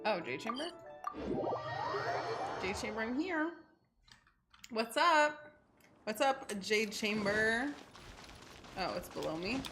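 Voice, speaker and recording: A woman's voice: fairly high pitch, lively intonation, slow pace, long pauses. Casual woman game streamer over music. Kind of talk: casual live gameplay reactions. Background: video game music.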